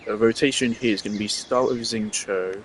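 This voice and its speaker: Man, low-pitched, casual, thinks aloud, restarts a lot, gameplay commentary. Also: fairly even intonation, brisk, a bit bright, a little hiss or hum. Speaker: gameplay streamer.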